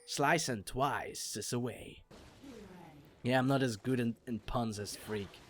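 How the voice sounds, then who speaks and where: A young man from Belgium, an English speaker, doing jokey solo commentary on a game replay and getting loud on exciting plays. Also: medium pitch, takes it slowly, soft background music. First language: not English. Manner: jokey, self-mocking, rises sharply on exciting plays. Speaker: esports shoutcaster.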